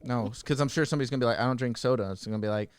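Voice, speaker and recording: A man's voice: medium pitch, rapid-fire, clean tone, no room sound, studio quality. Bantering man, casual podcast co-host. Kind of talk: casual comedic group chat.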